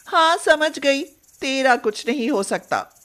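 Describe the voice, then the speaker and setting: A breezy, fun-loving rich young woman as a female narrator puts her on in a storybook tale. Her voice is raised high and casual. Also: animated, slow pace, fairly bright tone, full character voice. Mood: teasing.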